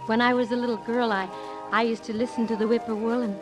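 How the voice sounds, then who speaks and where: A woman's voice: a little high, fairly even intonation, quick pace, lightly acted. An actress in a 1950s radio operetta playing a young woman who poses as a worldly foreign singer, speaking and singing. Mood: wistful, dreamy. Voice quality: trained operatic soprano singing voice. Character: Sally, young dishwasher posing as exotic, worldly foreign singer. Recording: 1950 radio broadcast, orchestral music behind.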